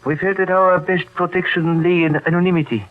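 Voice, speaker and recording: An actor playing a weary, cautious older Indian resistance leader in a radio drama, formal and measured. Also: male voice, high voice, fairly even intonation, lightly acted. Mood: grave, worried. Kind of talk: old-time radio drama acting. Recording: noisy, thin, muffled old radio recording.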